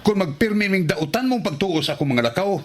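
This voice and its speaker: A man's voice: high-pitched, animated, brisk. A man playing an embattled, defensive and exasperated husband in a melodramatic radio drama. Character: embattled husband defending himself to jealous wife. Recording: slightly noisy radio drama recording.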